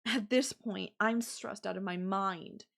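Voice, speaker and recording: Woman acting a slow-talking, menacing yandere girlfriend. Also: medium pitch, gravelly, a bit bright. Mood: irritated, stressed. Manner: slow, sarcastic, sweetly condescending with veiled threats. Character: possessive, menacing yandere girlfriend holding her partner captive. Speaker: voice actor. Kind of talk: girlfriend audio roleplay.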